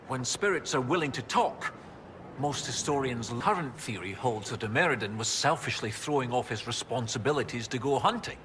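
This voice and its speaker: A man playing an excitable, wordy academic historian in a fantasy game, animated and earnest. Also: mid-range voice, a little hiss or hum, close to an everyday voice.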